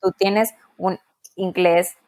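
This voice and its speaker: A woman's voice: on the low side, fairly even intonation, slow, a little mellow. A woman co-hosting a podcast, chatting casually and cheering on her partner. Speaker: podcast co-host.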